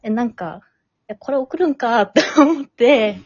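A woman's voice: fairly high pitch, expressive, measured pace, husky, dry recording. A woman with a Kansai accent hosting a casual group chat, with a giggly, slightly hesitant way of talking. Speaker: host of an amateur drama group's after-talk show. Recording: muffled lo-fi audio, dry sound.

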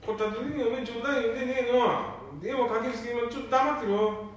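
A man playing a gruff middle-aged son with a Nanbu dialect in an amateur radio drama. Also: extremely high pitch, brisk. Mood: flustered. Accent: Nanbu dialect, northeastern Japan. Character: middle-aged eldest son, gruff, embarrassed by his father. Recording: very echoey, distant, faint noise.

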